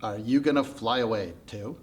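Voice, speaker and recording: A stubborn, curious heroine of a storybook myth, put on by a male storyteller. She is blunt and insistent, and draws her words out slowly and deliberately. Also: on the low side, a little mellow, pitched below the performer's own voice, lightly acted. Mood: gently curious.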